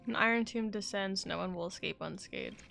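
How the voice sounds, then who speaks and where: A woman with an average-pitched voice streams a game. She reacts off the cuff in a casual, playful and blunt manner, as if thinking aloud. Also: brisk, vocal fry, a bit bright, soft background music. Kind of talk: casual live reaction commentary.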